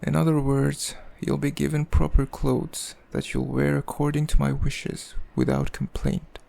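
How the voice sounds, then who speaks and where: A man playing a cruel, possessive demon king in an ASMR roleplay, speaking in a haughty, mocking, measured way with a gravelly voice. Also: on the high side, little pitch movement, dark tone. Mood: possessive amusement. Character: cruel, possessive male demon king, coldly amused, condescending. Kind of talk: ASMR roleplay, slow intimate villain monologue.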